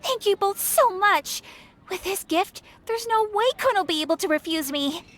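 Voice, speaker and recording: A woman voicing an excitable, lovestruck young woman in an anime video game, scheming and dramatic. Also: very high voice, normal speed, slight background noise. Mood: hopeful.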